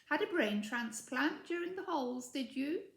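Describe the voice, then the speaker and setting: A woman's voice: high-pitched, expressive, normal speed, a bit bright, echoey room, voice pushed up. Woman voicing a sneery schoolgirl witch bully. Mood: sneering. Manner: sneery, mock-innocent.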